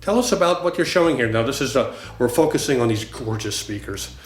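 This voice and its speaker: A man's voice: medium pitch, expressive, brisk. A man acting as a reporter, asking questions in a casual on-location interview. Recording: echoey room sound.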